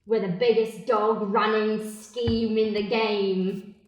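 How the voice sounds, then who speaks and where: A woman's voice: medium pitch, little pitch movement, slow pace, close to an everyday voice. A woman playing a bossy, wry mother who runs a small-time criminal gang, in an improvised comedy radio play. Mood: brash, scheming. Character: brash scheming British mother, small-time criminal ringleader. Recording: very echoey room.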